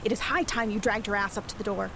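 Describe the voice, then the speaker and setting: A woman's voice: medium pitch, fast, noisy background, close to an everyday voice. An actress playing a brusque, impatient, foul-mouthed colonial New England woman in a period audio drama, blunt and urgent.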